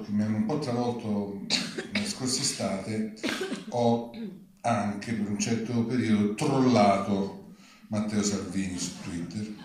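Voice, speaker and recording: A man reading his own satirical writing aloud at a live event, with a deadpan, mock-formal politeness. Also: on the low side, slight background noise. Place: large reverberant venue at a live reading event. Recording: very echoey live public venue.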